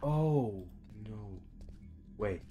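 A young man with a fairly low voice hosts a gaming video. He talks informally and thinks aloud as he plays, with some swearing. Faint music runs behind him. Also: expressive, extremely slow, warm tone. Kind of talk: casual let's play commentary. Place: small room indoors at home.

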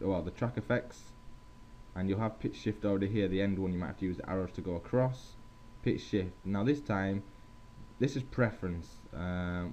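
A young man giving a casual software tutorial as host, on a headset mic. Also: low-pitched. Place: at a computer. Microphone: gaming headset. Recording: dull headset mic with faint hiss.

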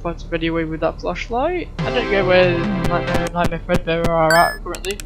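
A woman gamer comments casually on her play. Her voice sits a little low and her delivery is offhand, full of muttered asides. Loud game music runs behind her. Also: fairly quick. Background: video game music and sounds.

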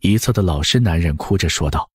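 A man narrates an audiobook in a lowish, husky voice. His delivery is scripted and dramatic, with lively swings in pitch. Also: fairly quick, dry recording, clean studio sound.

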